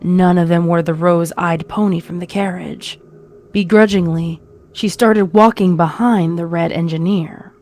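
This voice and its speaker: A woman's voice: lowish voice, steady tone, a little slow, a little mellow. A woman narrating a scripted horror story as a storyteller.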